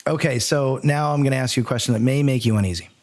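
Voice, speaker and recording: A man's voice: medium pitch, quick pace, darkish tone, a little hiss or hum. A man hosting a podcast in casual banter, talking in a wordy, playfully mock-formal way. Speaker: podcast host.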